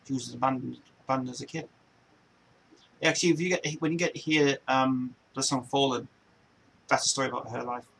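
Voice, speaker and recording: Gravelly-voiced Englishman in his forties rambling. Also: mid-range voice, fairly bright tone, a little hiss or hum, rough recording. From England. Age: 40s. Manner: loose, meandering, repeats himself and restarts often. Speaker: show host. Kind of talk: rambling casual chat.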